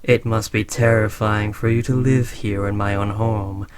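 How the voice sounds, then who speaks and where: A man's voice: lowish voice, fairly even intonation, natural voice. A man playing a smug, condescending yet indulgent alien overlord who conquered Earth, speaking to a servant in an imperious, teasing way in a roleplay audio drama. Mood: taunting, soothing. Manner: unhurried, imperious, teasingly patronizing.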